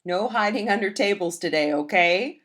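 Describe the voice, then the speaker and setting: A woman's voice: mid-range voice, animated, normal speed, fairly bright tone, close to an everyday voice. A woman reading a picture book aloud, voicing the father, a coaxing grown man.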